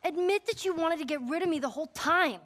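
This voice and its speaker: A woman's voice: extremely high pitch, fast talker, a bit bright. An actress playing a tough, wounded teenage girl survivor in a video game, American, blunt and emotional. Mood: defiant. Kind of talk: naturalistic video game drama acting.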